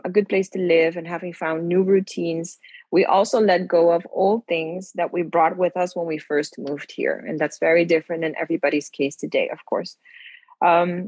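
Husky young woman hosting thoughtfully. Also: fairly low pitch, no room sound, low-quality recording. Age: young adult. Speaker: podcast host. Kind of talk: reflective podcast introduction.

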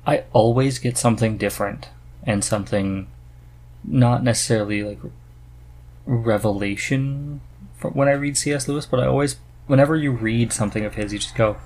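A man hosting a podcast in casual chat, halting as he searches for words. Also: medium pitch, a little mellow. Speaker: podcast host.

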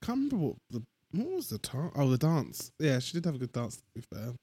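A man's voice: medium pitch, very animated, quick pace, dark tone, slightly rough, dry recording. Dry, joking man on a podcast. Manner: dry and joking, with hesitant restarts. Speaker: podcast co-host. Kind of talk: casual chat.

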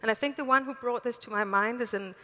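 A woman's voice: fairly high pitch, quick pace, pushed and bright. A woman moderating a public conversation on a stage, thoughtful and searching for her words. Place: stage in a large hall. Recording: reverberant hall.

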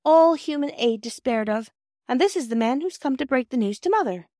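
Squeaky dramatic little girl, read by a woman, high and keen, dry sound. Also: lively intonation, fairly quick, dark tone, no echo. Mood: excited.

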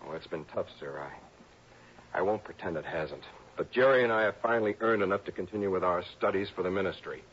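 A man's voice: sits low, brisk, fairly bright tone, slightly rough. An actor playing an earnest young male divinity student who is polite and nervous, in a 1960s radio drama. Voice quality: earnest, deferential, keeps saying sir. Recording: dull lo-fi 1960s radio broadcast.

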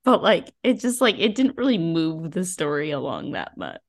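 A woman's voice: sits low, wildly swooping pitch, moderate pace. A woman co-hosting a book podcast, giving opinions in casual, exclamatory talk peppered with "like". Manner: informal, exclamatory, frequent "like".

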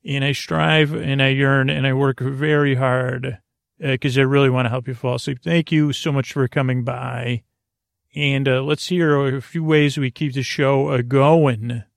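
A man with a creaky, fry-laden voice improvises a bedtime monologue as a podcast host. He speaks at a middle pitch, gently and soothingly, wandering, pausing and doubling back on his words. The sound is dry, with very little room. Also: steady tone, dry recording. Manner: meandering, halting, trailing off and repeating words; gentle, soothing address to the listener.

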